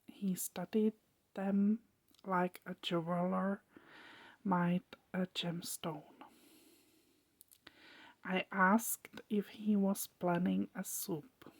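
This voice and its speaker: Very slow, breathy whispering woman. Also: on the low side, steady tone. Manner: hushed, gentle, deliberate with short phrases. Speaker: ASMR storyteller. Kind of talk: whispered ASMR scripted story narration.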